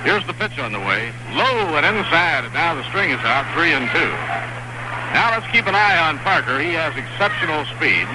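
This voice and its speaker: A man calling a baseball game play by play on live radio, describing each pitch in a steady, measured way. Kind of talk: live radio sports commentary. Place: stadium broadcast booth. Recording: noisy vintage 1960s radio broadcast.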